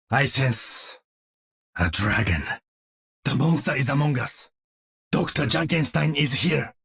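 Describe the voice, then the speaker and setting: A solemn, vigilant warrior, husky and fairly high for a male voice. He speaks slowly and formally, commanding and dramatic in a video game, recorded dry and close. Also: fairly bright tone, dry recording, exaggerated voice. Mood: grave.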